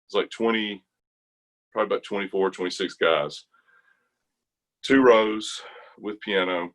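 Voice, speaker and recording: A middle-aged American man telling stories casually in conversation, animated and colloquial. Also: on the low side, unhurried. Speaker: interview guest, retired army chorus bass singer.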